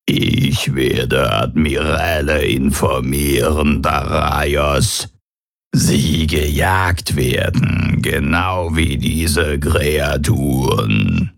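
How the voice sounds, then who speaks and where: A man playing a hostile alien commander in an audio drama, with guttural, belching speech in halting, broken phrases. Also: rumbling low, no echo, studio quality, heavily acted. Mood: menacing. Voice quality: guttural, gravelly, belching croak. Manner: halting, broken syntax, threatening. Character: hostile alien commander, croaking, menacing, slow-witted speech. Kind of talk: audio drama character acting.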